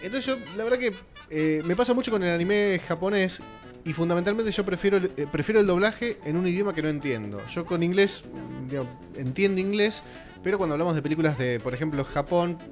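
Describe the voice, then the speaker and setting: Talkative Argentine radio host, higher voice. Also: male voice, brisk, soft background music. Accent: Argentine Spanish. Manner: talkative, explaining at length with many fillers. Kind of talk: casual radio panel talk.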